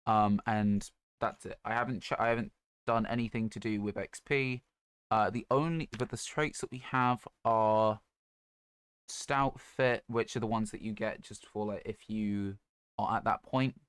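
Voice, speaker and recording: A man chatting casually on a gaming livestream in a low-key, rambling, hesitant way. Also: fairly even intonation, unhurried, clean tone, dry recording. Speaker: video game streamer.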